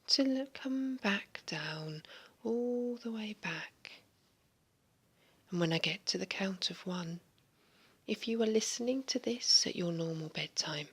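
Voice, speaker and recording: A woman guiding a hypnosis session in a slow, soothing, largely whispered voice, giving relaxation suggestions. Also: mid-range voice, a bit bright. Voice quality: breathy. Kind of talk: guided hypnosis recording.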